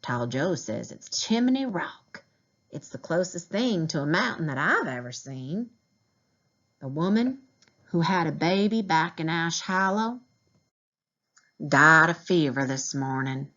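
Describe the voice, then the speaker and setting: Low-voiced woman reading a storybook. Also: expressive, unhurried, fairly bright tone, lo-fi sound. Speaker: teacher reading a book aloud. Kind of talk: storybook narration in a diary voice.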